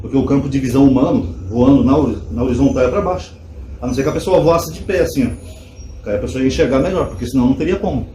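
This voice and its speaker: A fast-talking man, speaking casually to his audience with a mellow, somewhat high voice. He fills his explanations with rhetorical questions. The room echoes, with a faint hum behind him. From Brazil. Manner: conversational, animated asides with rhetorical questions. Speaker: channel host. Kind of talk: casual commentary to viewers.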